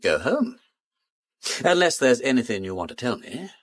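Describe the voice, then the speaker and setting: An urbane gentleman detective with an upper-class English accent in a radio drama. His pitch swings widely as he needles people with breezy, teasing wit. The sound is close and dry. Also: very animated, normal speed, dry recording. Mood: light, cool. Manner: teasing, breezy, with drawled asides.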